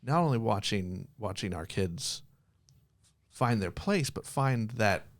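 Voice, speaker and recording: A man's voice: lowish voice, expressive, takes it slowly. A man working as an interviewer, talking casually and affirming his guest in an encouraging way.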